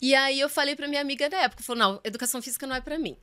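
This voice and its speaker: A bright, clear-toned woman in her thirties from Brazil talks as a physiotherapist guest on a podcast. She sits a little high in pitch and tells her stories with lively turns, acting out what people said. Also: racing. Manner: lively storyteller, acts out quoted remarks. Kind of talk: casual podcast interview.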